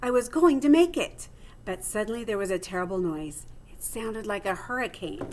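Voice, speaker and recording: A woman reading a children's storybook aloud like a teacher, with theatrical expression and clear phrasing. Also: mid-range voice, expressive, moderate pace, a little mellow, slight background noise.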